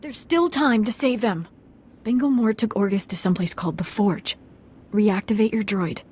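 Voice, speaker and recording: An actress playing a remorseful village matriarch in a video game, speaking softly and earnestly to plead for her people. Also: mid-range voice, moderate pace, husky, natural voice. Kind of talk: video game cutscene acting.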